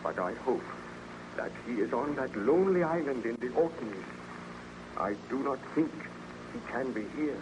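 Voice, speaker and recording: A man's voice: mid-range voice, animated, normal speed, natural voice. An actor playing a haunted, fearful young nobleman in an old-time radio drama, speaking in a hesitant, melodramatic, evasive way. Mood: fearful, agitated. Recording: noisy, muffled old-time radio recording.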